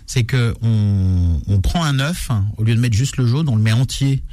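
A man hosts a laid-back food radio show, explaining recipes step by step with frequent restarts. His voice is warm and mellow, recorded close and dry with no room sound. He talks at an easy, middle pitch. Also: moderate pace, dry recording. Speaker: radio show host, cook. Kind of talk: casual radio chat.

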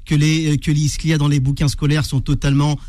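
A man's voice: a little high, level tone, quick pace, darkish tone, no room sound. A man on a radio debate panel, critical and stammering on repeated words. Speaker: debate guest.

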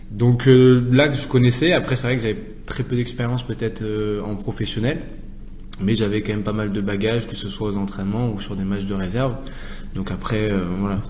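A young man with a fairly low voice speaks as a professional footballer in an interview. He explains things at length in a casual, conversational way, with some noise and ambience around him. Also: a little mellow. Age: young adult. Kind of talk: casual interview answers to supporters' questions.

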